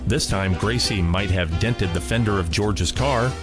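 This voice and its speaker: Deep-voiced male radio host over guitar. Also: unhurried. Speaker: host of a classic radio rebroadcast. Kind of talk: scripted radio hosting.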